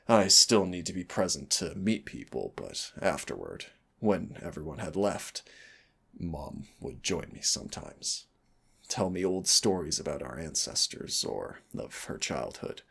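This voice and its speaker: Man playing a very deep, gravelly demon prince. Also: big pitch swings, a bit bright, heavily acted. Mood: wistful, fond. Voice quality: husky, gravelly. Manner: tender, unhurried, wistful storytelling. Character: gentle, affectionate demon prince, morally ambivalent, regal. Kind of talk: intimate roleplay audio acting, soft and soothing.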